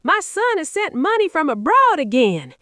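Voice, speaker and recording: A woman's voice: very high-pitched, normal speed, no room sound, studio quality, voice pushed up, full character voice. A woman voicing a proud, dramatic Nigerian mother from the market in a first-person folktale, with a Nigerian accent. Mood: boastful. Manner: dramatic, expressive.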